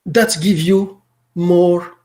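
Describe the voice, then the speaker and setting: A middle-aged man from Morocco whose first language is Arabic, answering interview questions in a halting way, often repeating words and restarting. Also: high voice, fairly even intonation, a little mellow. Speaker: teacher.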